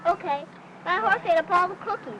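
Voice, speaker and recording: A woman's voice: very high voice, a little fast, highs cut off. A woman in an old-time radio drama playing a small, eager, innocent little boy. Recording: distant, very noisy old radio recording.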